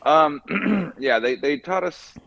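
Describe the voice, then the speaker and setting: A man from California, chatting casually and haltingly as an interview guest as he tells his story in an informal, rambling way. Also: fairly high pitch, animated, gravelly, dry recording, lo-fi sound. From California, USA. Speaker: podcast guest, car mechanic by trade. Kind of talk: casual chat.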